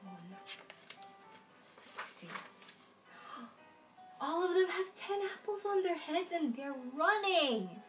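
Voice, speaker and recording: A young woman reads a picture book to small children in a high, swooping voice. She goes slowly and plays up the phrases with exaggerated storyteller expression, with faint music in the recording. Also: very animated. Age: young adult. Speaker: children's library storytime reader. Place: room at home, in front of a folding screen.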